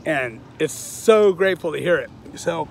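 A fairly high-voiced man in his forties rambles to his followers in a loose, earnest, profane way. He is standing outdoors on a sunny suburban street. The sound comes from a phone held at arm's length. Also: animated, normal speed, vocal fry, slight background noise. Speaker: vlogger, channel host. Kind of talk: casual selfie vlog to viewers.

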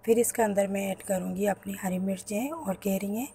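A woman, hosting a home cooking channel and calmly talking through each step of a recipe. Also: mid-range voice, brisk, slight background noise. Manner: explains each step plainly to viewers. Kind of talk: home cooking tutorial voice-over. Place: home kitchen.

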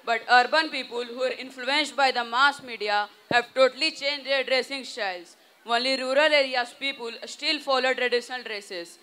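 A man's voice: very high voice, fairly even intonation, normal speed, sharp tone, smooth, poor audio. A teenage boy with an Indian English accent, reciting his part in a rehearsed school panel discussion on a handheld mic on an outdoor stage. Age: teens. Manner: recited, declamatory delivery. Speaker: student panelist.

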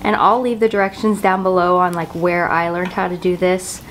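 A woman's voice: lowish voice, level tone, moderate pace, a little hiss or hum. A woman in her forties hosting a chatty home decor vlog, talking through a table setting in her dining room with a camera across the table. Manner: chatty, bubbly, self-teasing. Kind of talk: casual how-to vlog.

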